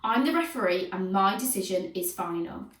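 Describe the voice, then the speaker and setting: A woman's voice: medium pitch, expressive, moderate pace, fairly bright tone, reverberant, recorded from a distance, natural voice, poor audio. A woman voicing a stern, bossy, snappish schoolteacher in a children's storybook. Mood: firm, authoritative. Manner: snapping, bossy, bellowing at pupils. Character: stern, snappish schoolteacher, a fearsome scowling battleaxe.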